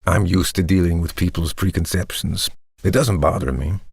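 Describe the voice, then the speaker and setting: An actor playing a rich, self-assured tech entrepreneur in an audio play, explaining himself in a measured, earnest way. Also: male voice, sits low, creaky, a little mellow, breathy edge, no room sound, clean studio sound, full character voice. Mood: smooth, self-justifying. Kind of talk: storytelling monologue in an audio play.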